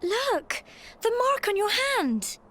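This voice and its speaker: High-voiced, plucky British village girl. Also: slow, full character voice. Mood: amazed. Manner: expressive, plucky, exclamatory. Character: spirited, scolding young village woman, warm-hearted adventure heroine. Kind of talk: video game cutscene voice acting.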